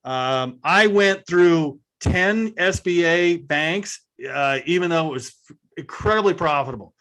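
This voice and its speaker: A man with a fairly high voice hosts a casual question-and-answer session. He talks conversationally and explains his points through anecdotes. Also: lively intonation, a little slow, fairly bright tone. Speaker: host answering audience questions. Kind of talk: casual question-and-answer talk on a video call.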